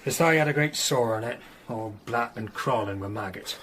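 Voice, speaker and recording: A man playing a rustic Tudor villager in a radio play. He gives grim gossip bluntly and matter-of-factly, in a mid-pitched voice with faint noise behind it. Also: moderate pace, fairly bright tone, close to an everyday voice. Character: plain rustic male villager, grimly gossiping witness. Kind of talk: radio drama acting.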